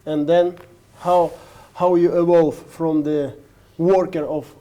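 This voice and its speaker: Russian guy hosting in english, kinda high warm voice, lots of restarts. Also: level tone, low-quality recording. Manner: halting, restarts, casual. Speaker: host. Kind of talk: interview questions.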